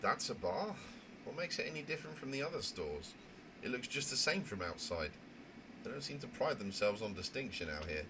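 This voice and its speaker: A man's voice: mid-range voice, big pitch swings, a little fast, natural voice. A man voicing an anxious, rule-bound young trainee in a visual novel, earnest and flustered, stammering with indignation. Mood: wary, musing. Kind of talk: amateur voice acting of a visual novel. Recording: noisy home recording.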